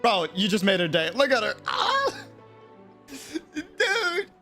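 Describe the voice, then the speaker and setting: American streamer guy, kinda high voice, super animated, rambles in slang while chatting. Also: slow pace, over music. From New York City, United States. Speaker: game streamer. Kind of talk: casual livestream chat and reactions.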